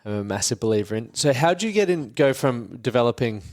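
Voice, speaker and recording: Aussie podcast host guy, mid-pitched, upbeat, lots of yep and yeah. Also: animated, darkish tone, no room sound, studio quality. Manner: upbeat, quick agreeing interjections. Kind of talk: casual podcast interview.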